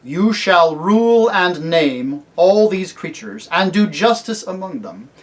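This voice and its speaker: Man voicing a solemn, slow-speaking kingly lion. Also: on the high side, voice pushed up, rough recording. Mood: solemn, commanding. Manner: grave, measured, commanding, formal. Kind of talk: storybook character reading.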